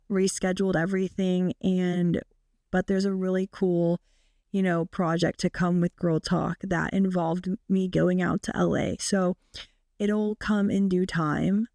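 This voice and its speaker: Hoarse, raspy young woman podcaster. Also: darkish tone, dry recording, clean studio sound. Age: 20s. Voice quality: raspy, deep. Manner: chatty, many fillers. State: sick, hoarse. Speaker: podcast host. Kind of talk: casual solo podcast chat.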